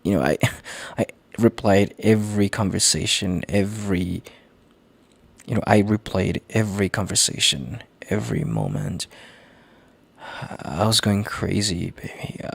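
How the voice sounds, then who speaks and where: A man playing a gentle, worried boyfriend in an intimate audio roleplay, speaking in a halting, tender, hushed way with a gravelly, husky, breathy voice. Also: fairly low pitch, full character voice. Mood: anguished, pleading. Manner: halting, tender, hushed, sighing between phrases. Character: gentle worried boyfriend, relieved and heartfelt, on a call.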